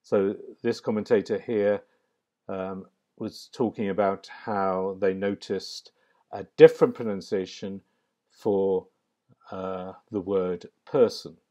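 A man giving a narrated slide lecture in a measured, academic way, pausing with frequent ums. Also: low-pitched, steady tone, dark tone. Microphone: voice-over on slides.

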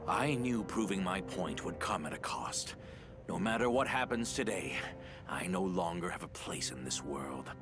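A brooding, bitter video game villain with a low, deliberate delivery. He is grave and theatrical, sliding between hushed resignation and sweeping intensity, with music behind him. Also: animated. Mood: weary, awed. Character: defeated brooding male villain, bitter former developer. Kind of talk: dramatic video game voice acting.

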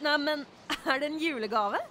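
A woman's voice: sits high, very noisy, lightly acted. A woman playing a down-to-earth, dryly kind office worker in an anime fan dub. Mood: pleasantly surprised.

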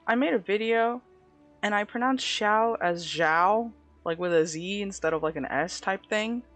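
A woman game streamer with a slight lisp, talking casually as she plays. She chats in a playful, easy way and addresses her viewers directly. Faint game music runs quietly in the background. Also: medium pitch, a little slow. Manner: chatty, playful, talks to chat. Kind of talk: casual gameplay commentary.

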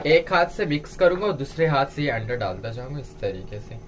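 A man's voice: medium pitch, big pitch swings, a little fast, background noise. A man giving a step-by-step cooking tutorial as a home cook, instructing viewers directly. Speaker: home cook presenting a recipe.

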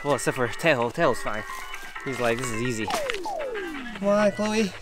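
A man hosting a vlog, chatting to a close handheld camera on a dark mountain trail, tired from climbing. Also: medium pitch, sing-song, moderate pace. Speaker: vlog host. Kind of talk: casual vlog commentary while hiking. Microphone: handheld camera held close. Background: background music.

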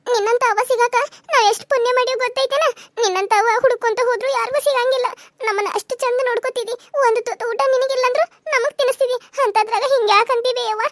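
A caring little child acted by a woman in a family melodrama. The voice is extremely high and fast, pleading softheartedly in a North Karnataka accent. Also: steady tone. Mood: consoling. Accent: North Karnataka Kannada. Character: caring young child soothing a distressed mother.

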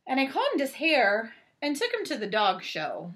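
High-pitched, animated woman reading a storybook. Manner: expressive, deliberate, drawn-out emphasis. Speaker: teacher reading aloud to children. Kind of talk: animated children's picture book read-aloud. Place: small home bedroom, indoors.

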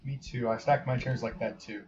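A man's voice: medium pitch, steady tone, fairly quick, a little mellow. A man casually commentating on a horror game as he plays, thinking aloud with wry, muttered remarks. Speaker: gamer. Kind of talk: casual let's play commentary. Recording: slightly dull lo-fi mic with faint hiss.

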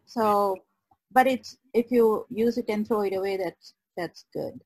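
A woman's voice: mid-range voice, a little slow, a little mellow, slightly rough, no echo. A woman speaking casually as a guest on a podcast. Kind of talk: casual chat.

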